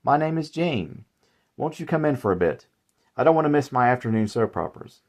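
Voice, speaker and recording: Man putting on a tired suburban woman, chatty, exasperated, pitched up, storybook horror. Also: lively intonation, brisk, a little mellow, natural voice. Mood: friendly. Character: weary, frustrated suburban housewife.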